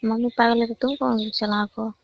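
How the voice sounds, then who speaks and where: Young woman, gravelly flat delivery, highish voice, casual chat on a muffled phone recording. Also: a little fast, slight background noise. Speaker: caller. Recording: muffled low-fidelity phone call recording.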